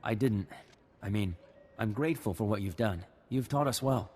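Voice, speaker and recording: A man voicing an earnest, respectful young militia recruit in a video game, with a husky voice. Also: on the low side, normal speed, darkish tone, big-room sound. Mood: respectful, grateful.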